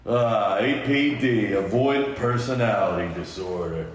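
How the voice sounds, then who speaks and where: A man's voice: on the low side, unhurried, slight background noise. A man, a podcast co-host, reading a film script aloud in an amateur table read. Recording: very echoey room.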